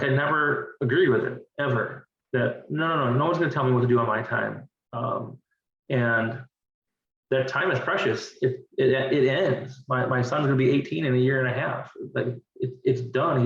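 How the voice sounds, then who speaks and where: A man's voice: medium pitch, fairly bright tone. A man in his forties, a divorced father talking earnestly as an interview guest and often repeating words as he thinks. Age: 40s. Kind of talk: candid conversational interview. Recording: distant, roomy and dull-sounding.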